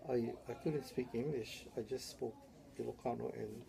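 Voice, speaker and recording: A man's voice: medium pitch, steady tone, normal speed, gravelly, noisy background. An older man from Hawaii, telling his life story in an oral history interview, rambling and tagging his sentences with "yeah?". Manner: rambling, tags statements with "yeah?".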